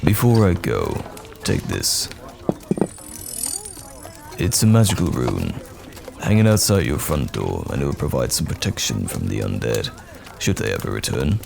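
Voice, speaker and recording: A man playing a gruff but kindly knight general in a fantasy audio roleplay, speaking in hearty, archaic soldierly phrases with a gravelly voice. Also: big pitch swings, measured pace, noisy background, exaggerated voice. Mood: earnest, protective. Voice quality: very deep, gravelly.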